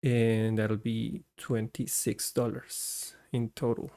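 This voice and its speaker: A man's voice: fairly low pitch, level tone, slow pace, warm tone. A man from Costa Rica hosting a live coding stream, talking through his work and chatting with viewers. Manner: talks through code as he works, chatty with viewers.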